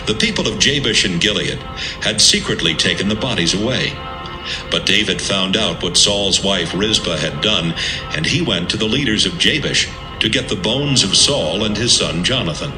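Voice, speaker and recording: A man narrating an audio Bible from a script in a measured, serious manner. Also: on the low side, lively intonation. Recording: roomy sound with music underneath.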